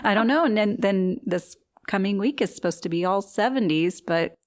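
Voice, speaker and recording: A woman's voice: mid-range voice, lively intonation, normal speed, a little mellow. A woman hosting a casual book club chat, friendly and laughing often, with plenty of fillers. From Kansas.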